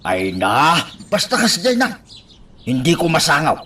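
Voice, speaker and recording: Man acting a slow-drawling old farmer, wildly swooping. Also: on the high side, wildly swooping pitch, distant mic, noisy background. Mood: sly, coaxing. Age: older. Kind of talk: radio drama acting.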